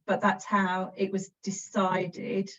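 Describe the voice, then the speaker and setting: A woman with an English accent, a council officer explaining a process in an informal presentation, steady and practical with frequent restarts. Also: medium pitch, slow, slight background noise. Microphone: video call. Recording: distant, dull video call audio.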